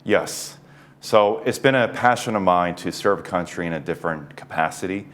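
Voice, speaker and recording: A man in his forties, a neurosurgeon guest on an interview show, explaining things in a measured way with light chuckles. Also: sits low, fairly bright tone. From United States East Coast. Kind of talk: casual interview talk.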